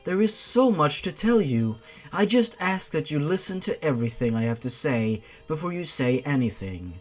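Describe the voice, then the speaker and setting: A man's voice: a little high, animated, normal speed, soft background music, natural voice. A man voicing a troubled, vulnerable teenage girl in a horror story, speaking earnestly. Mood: hesitant, weary.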